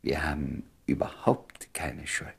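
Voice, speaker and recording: Earnest romantic lead for German radio play, very low register, heavily husky, soft and intimate delivery. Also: male voice, fairly even intonation, unhurried, whispered, exaggerated voice. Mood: gentle, intimate. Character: tender, earnest man in love, reuniting with old sweetheart. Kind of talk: German radio drama acting.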